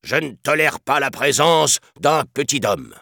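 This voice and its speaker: A man's voice: medium pitch, big pitch swings, unhurried, creaky, sharp tone, dry recording, pitched above the performer's own voice, heavily acted. A man voicing a pompous, stern old elephant colonel in a children's storybook, barking like an officer on parade. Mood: indignant. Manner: barking parade-ground commands.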